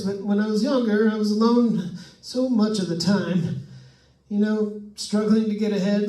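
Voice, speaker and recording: A man's voice: high voice, dark tone, lightly acted. A man playing a nervous, chatty radio host alone in a haunted house, stammering and rambling in an old-time radio suspense style. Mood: lonely, melancholy. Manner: stammering, jittery, rambling with forced cheer. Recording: echoey room, distant microphone.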